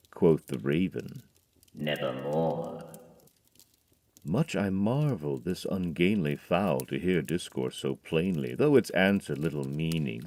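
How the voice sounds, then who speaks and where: A man giving a brooding, measured dramatic reading of a poem, dropping to a hush on key words. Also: sits low, dry recording. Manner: measured, brooding recitation with hushed drops. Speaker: narrator.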